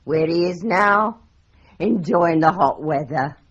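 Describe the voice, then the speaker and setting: A woman's voice: on the low side, slow pace. An actress playing a talkative, stern older landlady in a radio drama, running on with advice. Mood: chatty. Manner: chatty, lively, runs on with advice. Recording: dull lo-fi broadcast recording.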